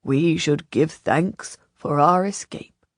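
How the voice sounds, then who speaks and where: A woman voicing a pious older churchman in an audiobook, grave, measured and gently stern. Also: low-pitched, dry recording, clean studio sound, voice pushed down. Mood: solemn.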